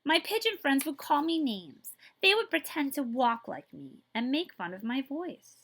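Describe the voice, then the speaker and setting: Animated, bright-voiced woman reading to children. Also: high-pitched. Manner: expressive, drawn-out emphasis, checks in with listeners. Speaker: librarian reading a picture book aloud. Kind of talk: children's read-aloud storytelling with asides to listeners.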